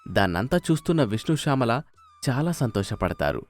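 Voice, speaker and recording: A man's voice: mid-range voice, sing-song, a little fast. A man narrating a scripted moral story as a storyteller, with music behind him. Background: faint music.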